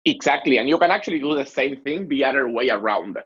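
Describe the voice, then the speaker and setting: A man from Argentina with an Argentine Spanish accent, a guest explaining his work in a relaxed podcast interview. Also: medium pitch, fast talker, husky, dull audio. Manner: relaxed, self-explaining, frequent "um" and "so". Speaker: guest, marketing executive.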